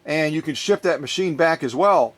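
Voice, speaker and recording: A man with a voice slightly on the higher side talks casually to his viewers in a workshop vlog. He is a lively, chatty storyteller who wanders off on tangents and rarely stops talking. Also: moderate pace. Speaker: sewing machine restorer. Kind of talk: casual unboxing vlog talk. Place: workshop, recording his own video.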